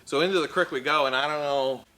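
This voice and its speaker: A man from Prince Edward Island with a Canadian Maritime accent, telling a casual personal story in a folksy, animated, conversational way. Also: on the high side, fast talker, rough recording. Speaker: car dealership storyteller and host.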